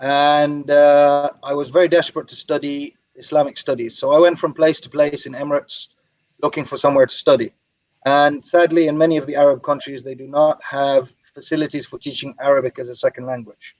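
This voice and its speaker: Dry, slightly high-voiced male interview guest. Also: flat delivery, a little fast, darkish tone, no room sound. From Ireland and Birmingham, England. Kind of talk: personal storytelling in an interview. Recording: dry, slightly dull lo-fi audio.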